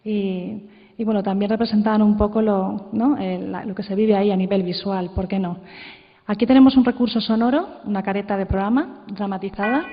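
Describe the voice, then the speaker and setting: A woman's voice: mid-range voice, brisk. A woman giving an informal conference presentation, introducing and commenting on audio clips. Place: conference hall. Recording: echoey hall, muffled sound.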